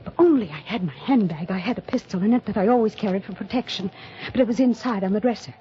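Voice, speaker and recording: A woman's voice: medium pitch, warm tone, slight background noise, close to an everyday voice. Actress as anxious young woman in muffled radio mystery. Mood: hushed dread. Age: young adult. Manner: suspenseful, deliberate, dramatic. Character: anxious young woman hiding a murder, narrating her ordeal. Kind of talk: 1950s radio mystery acting, dramatic narration. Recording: muffled old radio broadcast, music behind.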